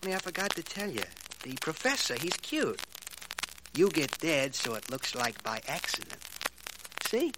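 An actor playing an uncouth, menacing gangster henchman in a 1940s radio drama, with slangy tough-guy talk and drawn-out hesitations. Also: a little high, big pitch swings. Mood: slyly threatening. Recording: noisy, crackly old 1940s radio recording.

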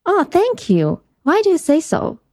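A woman's voice: very high voice, wildly swooping pitch, a little slow, darkish tone, no room sound, studio quality. A woman from Ukraine with a Ukrainian accent, hosting a podcast and earnestly telling a first-person travel story. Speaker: podcast host.

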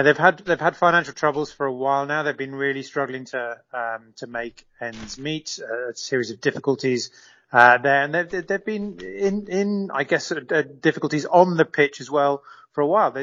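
A man's voice: medium pitch, steady tone, moderate pace, a bit bright, muffled recording. A man serving as a correspondent in a live radio interview, explaining at length with frequent fillers and restarts.

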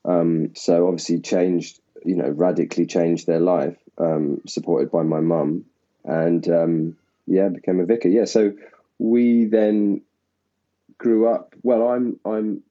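A man from Essex, an actor, talking at length and laughing at himself in a relaxed interview. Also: low-pitched, takes it slowly. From England (Essex). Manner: rambling, self-deprecating, laughs often. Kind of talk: casual interview conversation. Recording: dull lo-fi sound.

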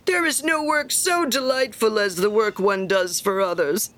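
A woman's voice: medium pitch, moderate pace, fairly bright tone, close to an everyday voice. A woman voicing a pompous, self-satisfied male miller in a storybook, lecturing with sanctimonious emphasis. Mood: cheery, self-important. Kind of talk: storybook character reading.

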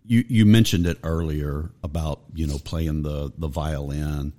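A man's voice: extremely low pitch, unhurried. A man hosting a music podcast, chatting casually and asking long, rambling questions. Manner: relaxed, conversational, asks long rambling questions. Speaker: podcast host. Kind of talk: casual interview chat.

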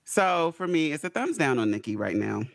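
A man co-hosting a casual pop culture chat, talking in an animated, rambling way. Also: on the high side, vocal fry. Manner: animated, rambling, quick to rant. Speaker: podcast co-host.

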